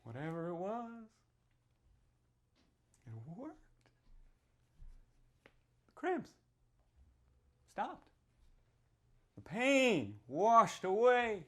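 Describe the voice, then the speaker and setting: A man giving a dramatic reading as a sinister small-town storyteller, swinging from hushed whispers to shouted outbursts. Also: high-pitched, huge pitch swings, very slow, long pauses. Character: sinister small-town local man recounting a grim tale. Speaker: author performing a reading from a novel. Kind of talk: theatrical first-person horror storytelling to an audience.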